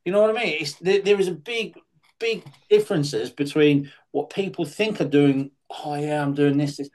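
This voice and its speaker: Blunt man nearly fifty, higher voice. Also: normal speed. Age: late 40s. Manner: blunt and swearing, animated rises in pitch. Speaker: podcast host. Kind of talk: casual chat.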